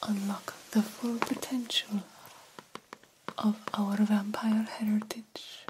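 A woman voicing a formal, grandiose vampire doctor in a breathy ASMR whisper, slowly and theatrically mentoring a fledgling. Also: medium pitch, level tone, noisy background, natural voice. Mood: coaxing, instructive. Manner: slow, deliberate, formal and theatrical. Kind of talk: ASMR roleplay.

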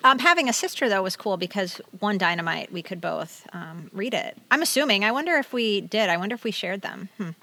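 A woman's voice: medium pitch, lively intonation, a little fast, hardly any pauses, pushed and bright. A middle-aged American woman co-hosting a casual podcast, chatty and wry as she comments and reads bits aloud. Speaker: podcast co-host. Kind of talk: casual chat with read-aloud magazine excerpts.

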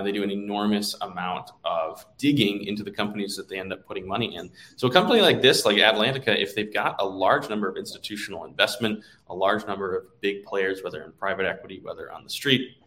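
A man's voice: on the low side, fairly bright tone. A man co-hosting a stock market show, talking through market commentary in a conversational style.

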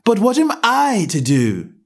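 A man's voice: high voice, huge pitch swings, measured pace, pitched above the performer's own voice, exaggerated voice. A man voicing a polite, curious young girl who grows exasperated. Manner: polite, earnest, getting exasperated.

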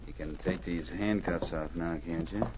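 Old-time radio outlaw male actor: low voice, defiant, rough frontier grammar, cornered and scared underneath. Dull vintage sound. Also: steady tone, moderate pace, noisy background, lightly acted. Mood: sullen. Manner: defiant, folksy ungrammatical frontier talk. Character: surly, scared male outlaw prisoner in the gold-rush North. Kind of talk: 1940s radio drama acting. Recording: muffled old radio recording.

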